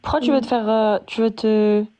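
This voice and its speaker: A woman's voice: a little high, steady tone, moderate pace, dark tone. A woman with a Paris suburban accent, a direct and questioning guest in a casual live chat.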